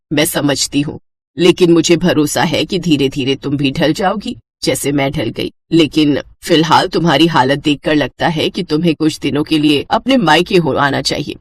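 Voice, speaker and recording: A woman voicing an older mother-in-law in a cartoon moral story, kindly but bossy, with a measured, instructing manner. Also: low-pitched, husky, no room sound, voice pushed down.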